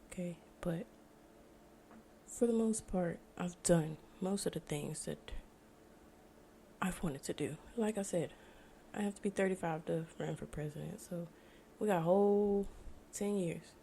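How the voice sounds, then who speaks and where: A young American woman with a dark, mellow voice sitting a little low. She talks casually and reflectively, as a podcast host chatting alone to listeners. There is some noise behind her. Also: unhurried, gravelly. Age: 20s. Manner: informal, reflective, conversational. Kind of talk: casual solo podcast monologue. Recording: noticeable background noise.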